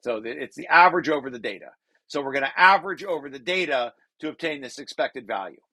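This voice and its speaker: A man presenting a technical paper, reading passages aloud and breaking off into informal, halting explanations with repeated restarts. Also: a little high, animated, pushed and bright, breathy edge, dry recording.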